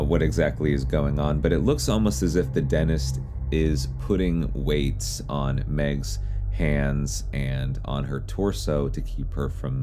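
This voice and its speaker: A man giving measured, somewhat tentative explainer commentary on horror videos as the host and narrator. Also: very deep voice, dark tone.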